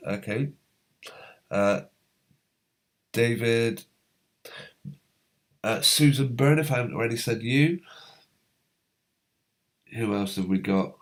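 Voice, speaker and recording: A man hosting a live stream, reading out viewers' comments in a halting, rambling way, his voice going hoarse as a cold comes on. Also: medium pitch. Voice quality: slightly hoarse. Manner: rambling, halting, many fillers.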